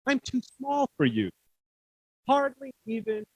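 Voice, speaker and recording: A man's voice: extremely high pitch, wildly swooping pitch, slow, slightly rough, no echo, voice pushed up, exaggerated voice, poor audio. A man voicing a brave, clever little owl knight while reading a children's storybook aloud. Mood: clever, pleading.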